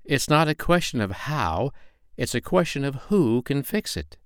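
A man's voice: medium pitch, big pitch swings, moderate pace, dry recording, studio quality, voice pushed up, close to an everyday voice. A man voicing a wry, pedantic owl who hoots and talks with sarcasm in a children's bedtime story. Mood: wry, instructive. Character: hooting, wry, sardonic male owl.

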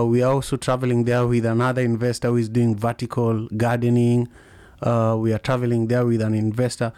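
Man, co-host and organizer: relaxed mellow tone, level pitch, halting informal explanations. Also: dry recording. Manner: halting, pads phrases with "you know". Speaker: group trip organizer and co-host.

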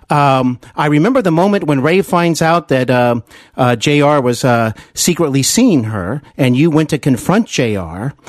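A man's voice: on the high side, dry recording. Enthusiastic male podcast host, close dry sound. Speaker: podcast host interviewing an actor. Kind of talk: conversational interview with long, detailed questions.

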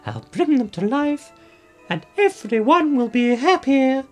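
A man's voice: very high voice, very animated, unhurried, soft background music, voice pushed up, exaggerated voice. A man voicing a merry, well-meaning female fairy in a storybook tale. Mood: merry, delighted.

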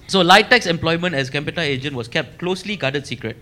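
A man with a Malaysian English accent, co-hosting a podcast and narrating history from notes in a halting, restarting way. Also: a little high, noisy background. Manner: reads history in bursts, stumbling and restarting, laughing easily. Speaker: podcast co-host presenting the story.

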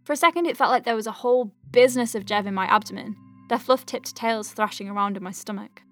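A woman playing a wry, soft-hearted young clinic doctor in an audio drama, chatting informally as she dictates her notes. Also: a little high, fast talker, faint music behind, lightly acted. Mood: wry, tender. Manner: chatty, wry, hesitant asides. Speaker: lead voice actor.

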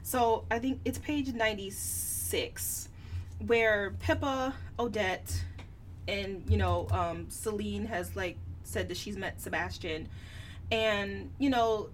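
A woman's voice: medium pitch, slow pace. A woman co-hosting a book podcast, talking casually in a candid, opinionated, colloquial way. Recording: distant mic with background noise.

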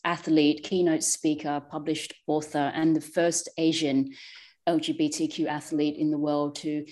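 A woman's voice: deep voice, level tone, a little slow, a little mellow. A woman introducing herself as an advocate and speaker, listing her roles confidently. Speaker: advocate, guest. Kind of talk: self-introduction.

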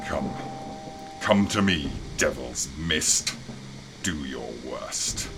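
A man's voice: very deep voice, expressive, very slow, vocal fry, exaggerated voice. An actor playing a fanatical, grandiose old alien king and father in a sci-fi audio drama, declaiming solemnly. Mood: defiant, grandiose. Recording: loud music under the voice.

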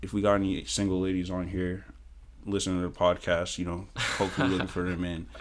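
A man's voice: sits low, completely flat delivery, fast. A young man hosting a casual podcast, talking in a hyped, joking, slangy way. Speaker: podcast host.

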